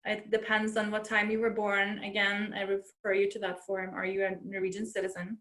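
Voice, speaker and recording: A woman's voice: mid-range voice, fairly quick. Woman consular officer in an echoey room. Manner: relaxed, helpful, uses fillers. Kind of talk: webinar Q&A answers. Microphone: video call.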